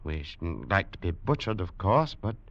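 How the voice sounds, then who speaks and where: An actor playing a wry, coolly confident English gentleman legionnaire, dry and teasing, in a 1940s radio drama. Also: deep voice, big pitch swings, normal speed, vocal fry, no room sound, heavily acted. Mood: dry, composed. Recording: muffled vintage radio recording, faint music.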